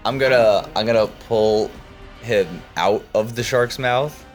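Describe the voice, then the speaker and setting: A man's voice: on the low side, unhurried. A man playing a tabletop role-playing game, chatting casually about his character's moves. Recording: background music under the voice.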